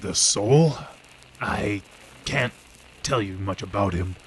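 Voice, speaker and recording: An actor playing a gruff, weary, hard-drinking police detective in an old time radio drama. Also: male voice, fairly low pitch, slow pace, a little hiss or hum, rough recording.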